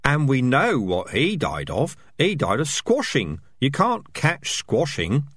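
A man's voice: wildly swooping pitch, slow pace, fairly bright tone, breathy edge, no room sound, clean studio sound, full character voice. A man voicing a squad of small, nervous, bickering male rats in an audiobook reading. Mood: fretful. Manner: bickering, nervous, high-pitched chatter. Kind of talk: audiobook character voices.

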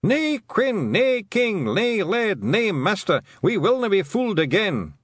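Guy doing shouty little fairy men, way high pitched. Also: normal speed, dry recording, clean studio sound. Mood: rowdy. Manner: rowdy, defiant. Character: tiny rowdy fairy men shouting together.

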